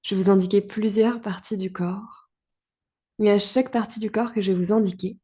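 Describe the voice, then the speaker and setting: A woman leads a guided yoga relaxation in a dark, relaxed voice. Her pitch stays very even and sits in a middle register. She speaks calmly and soothingly, leaving long pauses between short phrases. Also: husky.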